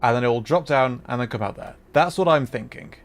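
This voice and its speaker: A man's voice: mid-range voice, fairly quick, faint music behind. A man doing casual live gameplay commentary, thinking aloud and playfully repeating words as he goes. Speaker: VTuber game streamer.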